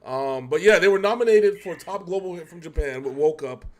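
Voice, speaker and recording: A man's voice: on the high side, expressive, brisk, a little mellow. An American man hosting a reaction show in casual, slangy, rambling chat.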